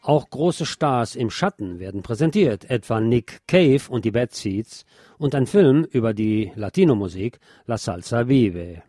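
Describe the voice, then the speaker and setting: Man, news presenter, soft mellow tone, medium pitch, scripted narration, faint music behind. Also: expressive, a little slow.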